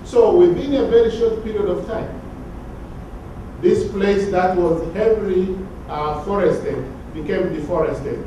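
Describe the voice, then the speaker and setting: A man from Tanzania giving an academic conference talk, measured and sometimes repeating words as he searches for phrasing. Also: high voice, warm tone, slight background noise. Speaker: conference speaker. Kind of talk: academic lecture. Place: large conference hall. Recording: very echoey hall from far off.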